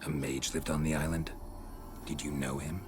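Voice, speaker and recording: An actor playing a stoic veteran monster hunter in a video game, talking in terse, dry, sardonic lines. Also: male voice, very deep voice, little pitch movement, moderate pace. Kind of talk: video game cutscene acting. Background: game soundtrack music.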